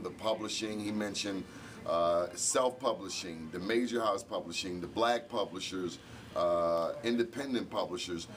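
A middle-aged man, an event organizer and radio host, speaking reflectively in an on-camera interview in an indoor hall. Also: lowish voice. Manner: reflective, measured, gestures with hands.

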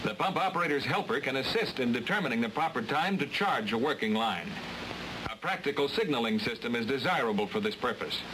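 A man's voice: mid-range voice, lively intonation, a little fast. A man giving scripted, matter-of-fact instructional narration for a training film. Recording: hissy old film transfer.

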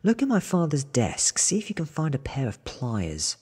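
A man voicing a puzzled, mildly suspicious young man in soft, breathy ASMR audiobook dialogue. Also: fairly high pitch, wildly swooping pitch, normal speed, long reverb tail, voice pushed up, exaggerated voice. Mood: insistent.

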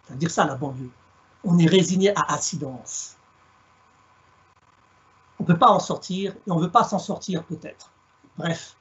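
Husky middle-aged guy telling stories slowly on a video call, lo-fi sound, kinda high voice. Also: fairly bright tone. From France, Alsace. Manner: measured, reflective, plays on words. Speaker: guest speaker, storyteller. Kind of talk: online talk, storytelling. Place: home room on a video call.

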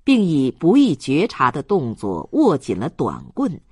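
An audiobook narrator reading a novel in a measured, expressive storytelling style. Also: mid-range voice, husky, dry recording, studio quality. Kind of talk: scripted novel narration, slow dramatic storytelling.

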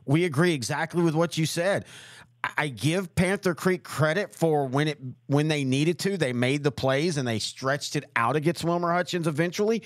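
A man's voice: on the high side, moderate pace, no echo, clean studio sound. A man co-hosting a sports show, casually breaking down games with emphatic repetition. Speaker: sports show co-host and analyst.

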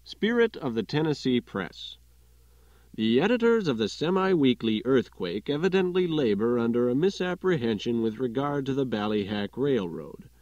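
A man's voice: mid-range voice, a little mellow, dry recording, lo-fi sound. A man reading a literary short story as an audiobook narrator, in scripted narration.

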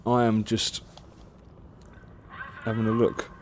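Low-voiced male game streamer, noisy background. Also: completely flat delivery, slow, a little mellow. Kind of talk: casual gameplay stream chat. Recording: noisy mic with game sound behind.

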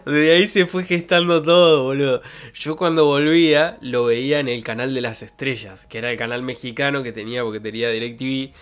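A young man with an Argentine accent from Santa Fe talks in a medium-pitched voice. He chats like a streamer telling stories to his viewers, loose, colloquial and jokey. He speaks close into a desk microphone. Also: fairly even intonation, a little fast. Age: young adult. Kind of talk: casual livestream chat, reminiscing. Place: bedroom streaming setup. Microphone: close desk microphone with pop filter.

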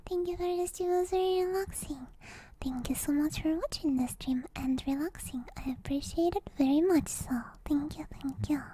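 A woman chatting softly and casually to livestream viewers in a breathy, mostly whispered ASMR style, close to the microphone. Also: high-pitched, normal speed. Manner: soft-spoken, relaxed, rambling. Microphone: close binaural ASMR microphone.

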